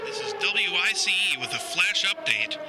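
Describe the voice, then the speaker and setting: Folksy small town news guy actor, highish animated voice, music loud behind him. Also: moderate pace. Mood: announcing. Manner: chirpy, announcing, folksy. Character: folksy, upbeat male small-town radio newsreader. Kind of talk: radio news bulletin in audio drama.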